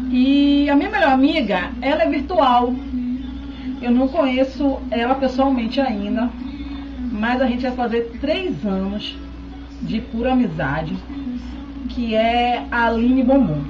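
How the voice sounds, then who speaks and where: A woman's voice: high-pitched, muffled recording, background noise. A woman in her thirties chatting casually to a webcam at home, keeping in touch with viewers as she answers questions. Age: 30s. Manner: chatty, keeps checking in with "tá?". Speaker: beauty vlogger. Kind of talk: casual chat to camera. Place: indoors at home, small room. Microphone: webcam close up.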